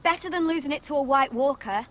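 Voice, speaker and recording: A young wildling woman has a high voice and a commanding edge. She is blunt, suspicious and protective, delivered as dramatic fantasy game acting. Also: fast talker. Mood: scornful, challenging. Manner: sharp-tongued, commanding. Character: blunt, suspicious, protective free-folk sister.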